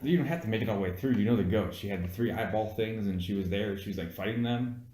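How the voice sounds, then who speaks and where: A man with a fairly low voice talks in a reverberant room. He is having a casual review chat and comes across as blunt and argumentative, swearing freely as he makes his case. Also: quick pace, a crowd in the background. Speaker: podcast co-host. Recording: echoey room.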